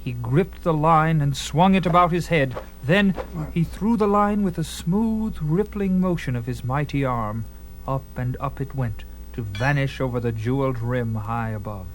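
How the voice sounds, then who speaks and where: A man's voice: medium pitch, normal speed, low-quality recording. A man giving theatrical, suspenseful narration of a dramatized story. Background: music.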